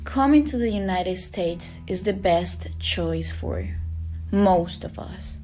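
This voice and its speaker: Student from Costa Rica, reads her script slowly, guitar bed under her. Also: lowish voice, expressive, darkish tone. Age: young adult. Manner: slow, earnest reading. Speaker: student podcaster. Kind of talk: scripted reading.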